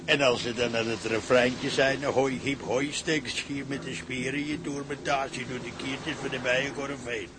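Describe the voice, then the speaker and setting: Ship's cook, male actor: salty man relishing grisly tales, slangy, mid pitch, radio drama, clear ambient noise. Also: fairly even intonation, moderate pace, hardly any pauses, lightly acted. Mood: ghoulish glee. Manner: colourful, slangy storytelling. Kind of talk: Dutch radio drama acting. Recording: clear background noise, outdoor ship ambience.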